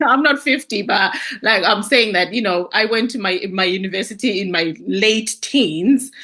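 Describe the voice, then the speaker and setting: A woman hosting an interview, chatty and quick to laugh. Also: medium pitch, sharp tone. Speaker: host. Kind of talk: casual interview.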